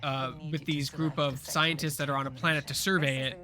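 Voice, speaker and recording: A man chatting on a live pop culture show in a rambling, eager way. His voice sits fairly high and sounds bright and forward, and he talks quickly, piling up fillers and restarts as he goes. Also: level tone. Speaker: co-host. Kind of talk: casual pop culture chat.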